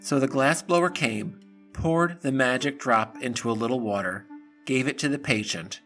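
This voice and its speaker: A man narrating a scripted fairy tale for an audio drama in a theatrical, measured storytelling manner. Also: mid-range voice, fairly bright tone, soft background music.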